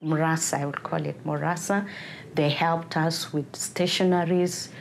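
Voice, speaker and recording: A woman's voice: deep voice, fairly even intonation, measured pace, gravelly, a little hiss or hum. A woman from Uganda with a Ugandan accent, giving spoken testimony as an interviewee. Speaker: interviewee, refugee.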